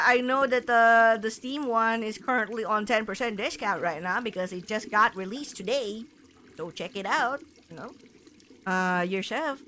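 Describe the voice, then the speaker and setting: A woman game streamer chatting casually to her viewers in a rambling, halting way, full of filler words. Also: on the high side, pushed and bright, a little hiss or hum. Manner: rambling, halting, punctuated with "like" and "you know". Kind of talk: casual livestream chat to viewers.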